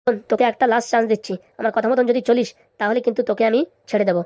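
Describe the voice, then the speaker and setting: A woman's voice: on the high side, fairly even intonation, rapid-fire, dark tone, no room sound, studio quality, natural voice. A woman voicing a bullying, brusque male police officer interrogating someone in a comic cartoon. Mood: threatening.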